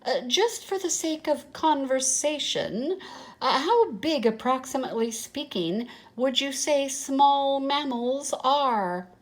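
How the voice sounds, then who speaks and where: A timid gentleman mouse from a storybook, voiced by an older woman reading aloud. He speaks in a raised pitch and a slow, trembly stammer, trying to sound politely casual while plainly nervous. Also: animated. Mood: nervous, feigned casual. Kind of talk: storybook character voice.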